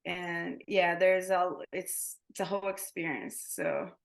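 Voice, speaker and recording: A woman's voice: fairly low pitch, very animated, measured pace. A woman who teaches yoga and Thai massage, talking casually as a guest in an interview, with many fillers and restarts.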